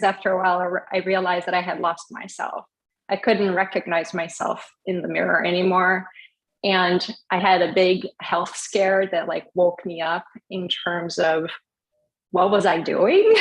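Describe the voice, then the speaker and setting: A woman's voice: lowish voice, rough recording. A woman from Brazil, speaking as a panelist, telling her career story in an earnest, reflective way with light laughs. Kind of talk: panel discussion, personal story.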